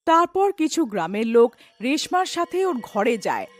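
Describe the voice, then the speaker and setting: A woman's voice: sits high, very animated, normal speed. A woman reading scripted narration for a cartoon horror tale.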